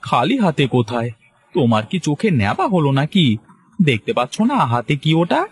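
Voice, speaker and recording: A man's voice: a little high, sing-song, a little fast, breathy edge, no room sound, clean studio sound. A man voicing a poor, impatient fisherman husband in a cartoon, plaintive and grumbling. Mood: defensive. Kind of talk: Bengali cartoon story voice acting.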